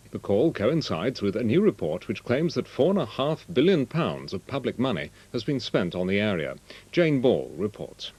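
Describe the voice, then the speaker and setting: Male English newsreader, lower register, somewhat rough-grained tone, formal scripted bulletin read. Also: darkish tone. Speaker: news reader.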